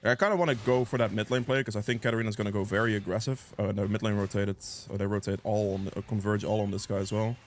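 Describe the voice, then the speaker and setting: A man's voice: on the low side, steady tone, quick pace, hardly any pauses, slight background noise. A man giving casual live commentary on a video game as he plays, thinking aloud and reacting as he goes, sniffly with a cold. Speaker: gameplay guide creator and player.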